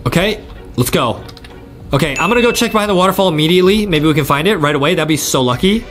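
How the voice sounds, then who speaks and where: A man's voice: a little high, expressive, fast talker, fairly bright tone, soft background music. A young American man hosting gameplay commentary in a hyped, exclamatory, slangy way. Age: young adult. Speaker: gaming YouTube host.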